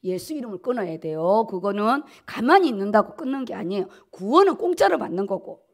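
A woman's voice: mid-range voice, very animated, brisk. A middle-aged woman pastor preaching a sermon at a pulpit, emphatic and repeating key words for stress. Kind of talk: sermon, preaching at a dawn service. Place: at a pulpit in a church auditorium. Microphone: podium gooseneck microphones.

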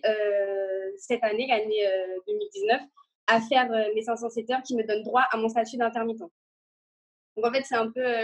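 A woman in her twenties giving casual answers as a guest on a video call. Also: on the high side. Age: 20s. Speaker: guest, dance student. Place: indoor room, video call.

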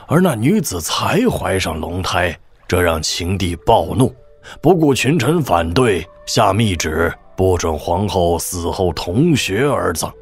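An actor playing a shrewd old military governor, husky, measured and wry, in a wuxia audio drama. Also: male voice, low voice, exaggerated voice. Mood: reflective storytelling. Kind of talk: Chinese wuxia radio drama acting.

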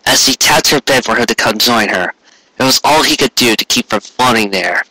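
A man's voice: medium pitch, lively intonation, moderate pace, bright, forceful tone, dry recording, low-quality recording. A man reading a story aloud as scripted narration, now and then stumbling and correcting himself. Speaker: amateur fan fiction reader.

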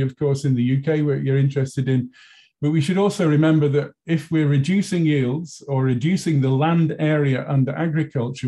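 British man, mellow video-call speaker. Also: mid-range voice. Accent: British English. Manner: measured, thoughtful, frequent restarts. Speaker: university professor, panel speaker. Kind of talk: conference panel talk. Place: office with bookshelves.

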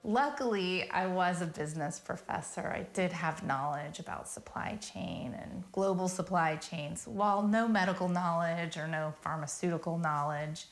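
A woman's voice: fairly low pitch, moderate pace, hardly any pauses. A middle-aged American woman telling a personal story conversationally in an office interview. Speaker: interviewee, nonprofit founder. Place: small office. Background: faint music.

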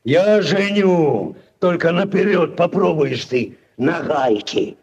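An actor playing a pompous, gruff old village headman in a 1940s radio play, blustering and repeating himself. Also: a little high, expressive, takes it slowly, darkish tone, highs cut off, full character voice. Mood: blustering, stern. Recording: vintage 1940s radio recording.

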